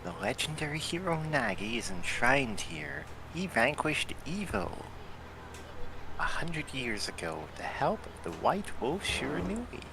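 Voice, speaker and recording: A man's voice: a little high, sing-song, a little slow, creaky, background noise. A man hosting a game playthrough, reading a companion's lines aloud in a playful way with friends. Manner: playful, voicing a companion character. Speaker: let's play host.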